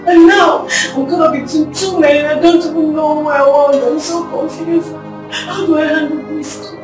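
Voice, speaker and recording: Brief: woman as distraught young woman, very high voice, echoey and far off, music under. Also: sing-song, slow pace, full character voice. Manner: pleading, tearful, exasperated. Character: torn young woman, emotional, prayerful. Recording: echoey room, far from mic, music behind.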